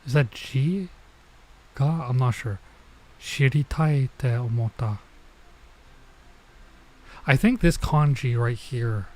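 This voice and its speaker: A man with a middling pitch talks as a game streamer. He reads visual novel text aloud and comments in a casual way, slowing and halting as he sounds out unfamiliar words. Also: a little mellow. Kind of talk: casual let's play, reading dialogue aloud and commenting.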